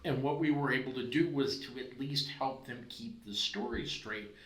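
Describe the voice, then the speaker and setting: A man's voice: medium pitch, moderate pace, a bit bright, roomy sound, rough recording. A man hosting a podcast who talks in a discursive, conversational way with many asides. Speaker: podcast host. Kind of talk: conversational interview.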